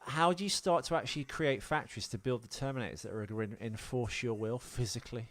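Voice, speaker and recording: A man's voice: medium pitch, fast talker. A man co-hosting a podcast, chatting casually about a film and explaining details as he goes. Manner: explanatory, reading out film continuity errors. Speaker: podcast co-host. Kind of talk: casual film-commentary chat.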